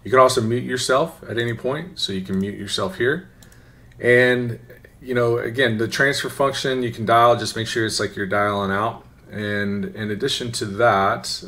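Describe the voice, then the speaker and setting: A man giving an informal, conversational software tutorial, often checking in with a quick "right?". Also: on the low side. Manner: conversational, instructional, often tagging phrases with "right?". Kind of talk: informal screen-share walkthrough. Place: voice-over for a screen recording.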